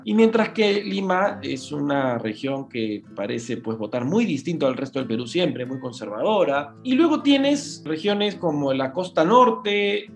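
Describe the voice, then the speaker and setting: A man, answering an interview as a political analyst in a reflective, explaining way with frequent tag questions. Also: on the high side. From Peru.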